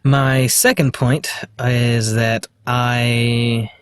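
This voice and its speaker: A man with a slight lisp, talking to the camera in a halting, hesitant way, full of fillers and restarts. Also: mid-range voice, level tone, a little hiss or hum. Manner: quiet, self-deprecating. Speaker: vlogger. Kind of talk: casual self-recorded monologue.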